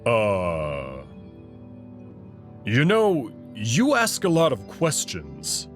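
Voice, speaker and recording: A man voicing an awkward, evasive male alien wolf who talks haltingly in a visual novel. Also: on the low side, huge pitch swings, faint music behind, voice pushed down, heavily acted. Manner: hesitant, halting.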